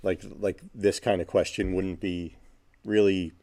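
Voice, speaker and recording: A man co-hosting a podcast talks things through agreeably and with some thought. His voice is very deep and dark, mellow in tone. It is recorded close and dry. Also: level tone, measured pace, no room sound. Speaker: podcast co-host. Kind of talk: casual podcast chat.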